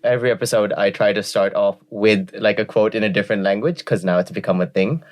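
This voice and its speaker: A man hosting a podcast, reading a disclaimer and introducing his guest in a friendly, slightly halting way. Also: fairly low pitch. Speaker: podcast host.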